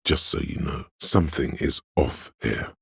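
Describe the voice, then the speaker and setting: Encyclopedia, an extremely deep, learned inner voice. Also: male voice, a bit bright, no room sound, studio quality, heavily acted. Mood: informative, deadpan. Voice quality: very deep, smooth, resonant. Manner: measured, erudite, wry, deliberate delivery. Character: Encyclopedia, a learned, detached male inner voice of trivia. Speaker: game skill narrator. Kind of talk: scripted video game narration.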